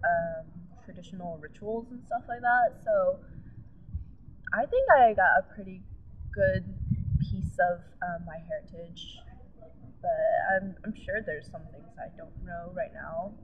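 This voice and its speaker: A woman's voice: lowish voice, measured pace. Casual woman, phone-like sound. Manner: casual, filler-heavy. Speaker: interviewee. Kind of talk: casual interview answer. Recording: phone-like sound with faint noise.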